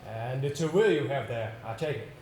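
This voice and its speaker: A man on stage playing an earnest, polite young male solicitor with formal, measured speech, in a roomy, slightly noisy recording. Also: mid-range voice, expressive, darkish tone, lightly acted. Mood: polite, inquiring. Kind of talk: amateur stage play narration and dialogue. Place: indoor stage, picked up with room sound.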